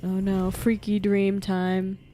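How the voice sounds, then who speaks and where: Giggly streamer girl chatting over a game, medium pitch, faint music behind. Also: completely flat delivery, takes it slowly, dark tone. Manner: playful, giggly, reactive. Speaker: game livestreamer. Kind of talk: casual livestream commentary.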